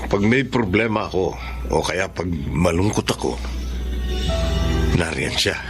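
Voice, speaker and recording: A passionate widowed Filipino father in a radio drama, low in pitch and swinging widely in intonation. His delivery is emphatic and theatrical, with music playing clearly underneath. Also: lively intonation, a little slow. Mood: wistful. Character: widowed father Richard, passionate, earnest romantic lead. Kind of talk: Filipino radio drama acting with first-person narration.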